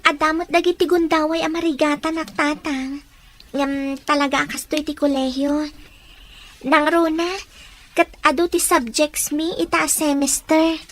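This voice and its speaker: A woman's voice: sits high, flat delivery, moderate pace, a bit bright. A woman voicing a young college student who talks politely and cheerfully with her father and friends. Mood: friendly.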